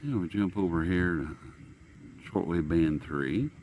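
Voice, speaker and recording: A man's voice: low-pitched, unhurried, a little mellow, a little hiss or hum. A man hosting a hobby channel, giving a casual, unscripted demonstration in a folksy, rambling way as he muses aloud. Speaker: radio hobbyist channel host. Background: shortwave radio being tuned.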